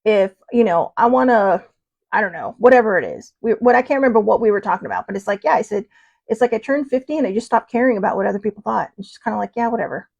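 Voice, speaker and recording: A woman's voice: medium pitch, lively intonation, quick pace, gravelly, darkish tone, lo-fi sound. A woman in her fifties hosting a casual livestream, chatty and rambling, with lots of fillers and restarts as she answers viewers. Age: 50s. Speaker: host.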